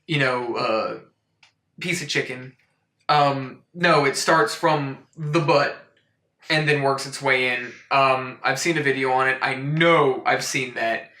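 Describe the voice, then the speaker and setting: Bright-voiced man, deadpan comic storyteller. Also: medium pitch, reverberant. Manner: mock-serious, rambling, incredulous asides. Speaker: host of a comic storytime show. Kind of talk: deadpan comedic picture book review.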